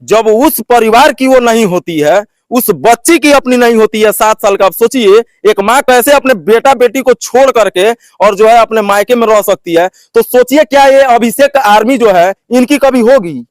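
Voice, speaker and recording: A man working as a vlog host, interviewing and commenting to viewers in halting, repetitive phrasing with filler phrases. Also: extremely high pitch, no echo.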